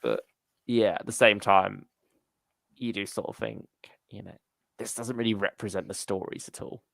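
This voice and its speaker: Male co-host, gravelly and dry-sounding, thinking aloud in relaxed fan discussion, trailing thoughts. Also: fairly low pitch, normal speed, dry recording. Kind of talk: casual fan chat.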